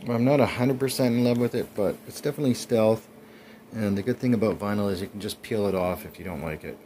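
A man's voice: on the low side, fast, dark tone, a little hiss or hum. A man in his forties casually narrating his bike build over clips, chatty with frequent ums. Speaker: cycling vlogger.

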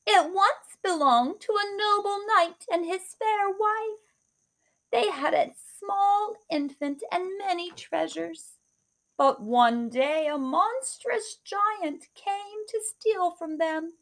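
A woman voicing a kindly old woman who tells a sad tale, slow and gentle. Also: very high voice, animated, pitched above the performer's own voice, exaggerated voice, poor audio.

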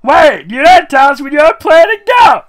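A man voicing a bubbly, hyperactive female cartoon pony in a shrill, high-energy shout. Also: big pitch swings, brisk, heavily acted. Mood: excited.

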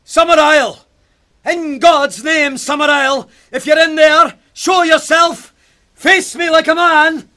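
An actor playing a pious, stubborn, haunted police sergeant in an audio drama, speaking in an intense, formal, demanding way. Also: male voice, very high-pitched, fairly even intonation, measured pace, bright, forceful tone, a little hiss or hum, heavily acted. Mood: furious. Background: music.

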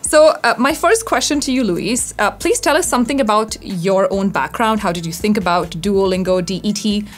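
A woman's voice: medium pitch, moderate pace. A woman in her thirties with an Indian accent, hosting an interview in a conversational style. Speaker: interviewer, content creator. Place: indoor studio-style office set.

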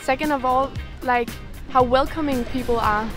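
A woman's voice: high voice, moderate pace, over music. A young woman from Denmark chatting casually, with a lot of "like", in a beach interview with a lapel mic. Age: young adult. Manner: casual, lots of "like". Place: outdoors on a beach.

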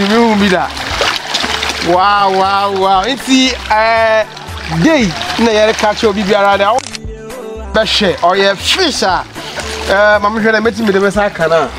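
A man in his thirties with a Ghanaian accent, filming an excited outdoor vlog by a forest stream, exclaiming often. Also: sits high. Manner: excitable, exclaiming, mixes local language with English. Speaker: vlogger.

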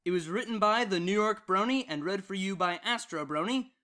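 A man's voice: high voice, brisk, sharp tone. A man narrating fan fiction as a story reader and channel host.

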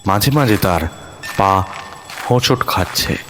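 Slow, low-voiced man narrating suspense. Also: fairly bright tone, slight background noise. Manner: slow, suspenseful phrasing with deliberate pauses. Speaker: audio story narrator. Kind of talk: dramatic scripted horror-thriller narration.

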